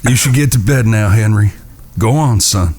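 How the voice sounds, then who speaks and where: An actor playing a grieving, steady frontier outlaw leader in a western audio drama. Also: male voice, lowish voice, moderate pace, natural voice. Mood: weary, firm.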